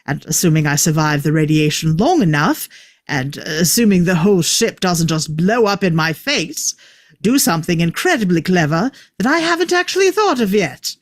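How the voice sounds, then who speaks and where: A man's voice: sits high, expressive, moderate pace, no echo, studio quality. A man reading the part of a clever, eccentric time traveller at a table read, explaining things with wry emphasis. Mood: grim, wry.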